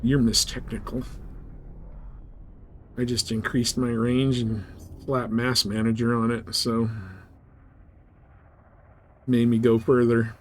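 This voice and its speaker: A man's voice: medium pitch, little pitch movement, slow pace, long pauses. A man chatting informally and slangily as he gives rambling live commentary on a video game he is streaming. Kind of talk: casual live gameplay commentary. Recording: clear background noise.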